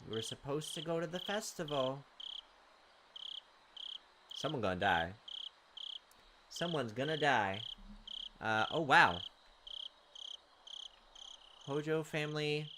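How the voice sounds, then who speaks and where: Animated male let's play host. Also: mid-range voice, slow, long pauses, background noise. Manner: informal, playful asides. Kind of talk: reading game text aloud with casual commentary. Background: game music.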